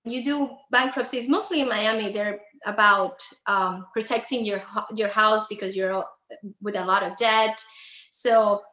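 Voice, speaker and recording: A woman's voice: mid-range voice, pushed and bright, reverberant, poor audio. A woman from Cuba, speaking English with a Cuban Spanish accent, an attorney talking earnestly and sometimes searching for words as an interview guest. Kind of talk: conversational interview.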